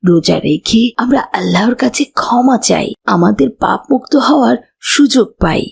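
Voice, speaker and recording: Kindly old woman acted by a woman, husky, gravelly, patient, instructive, children's cartoon. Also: fairly high pitch, lively intonation, normal speed, exaggerated voice. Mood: gentle, instructive. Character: kindly old village woman teaching children about faith. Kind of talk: children's moral cartoon voice acting.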